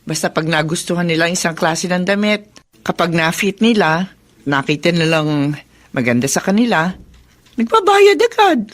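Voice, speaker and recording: A middle-aged Filipino mother in a radio drama. Her voice sits a little low, with a chatty, motherly way of talking. She is warm, teasing and knowing, a widow who sells clothes at the market. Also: sing-song, normal speed.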